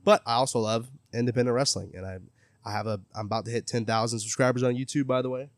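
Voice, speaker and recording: A man's voice: medium pitch, steady tone, quick pace, no room sound. A man hosting a casual interview, earnest and friendly, talking openly about his life. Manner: earnest, friendly, self-promoting. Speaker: interviewer, gaming content creator.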